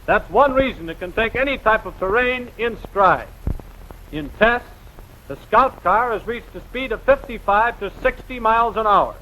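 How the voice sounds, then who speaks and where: A man's voice: high voice, moderate pace, sharp tone, slight background noise, low-quality recording. An American man narrating a scripted 1940s newsreel in a formal, emphatic, rousing announcer's delivery, on a vintage film soundtrack.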